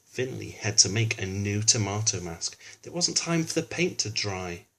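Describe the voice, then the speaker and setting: A man's voice: lowish voice, big pitch swings, moderate pace, creaky, fairly bright tone. Man reading a children's storybook. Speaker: children's book reader. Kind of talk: storybook read-aloud with informal asides to a child listener.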